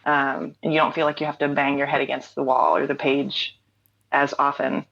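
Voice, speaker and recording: Low-voiced woman musician on a muffled line. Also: flat delivery, moderate pace, vocal fry, a bit bright. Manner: thoughtful, frequent "um" and "like", laughs easily. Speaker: guest, singer-songwriter. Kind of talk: reflective conversational interview.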